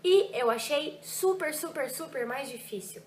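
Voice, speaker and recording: Young woman, French teacher vlogging, fairly high voice, expressive and emphatic, echoey room, faint hiss. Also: measured pace. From Brazil, Ribeirão Preto. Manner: lively, emphatic, drops French words into Portuguese. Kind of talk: personal reflection vlog.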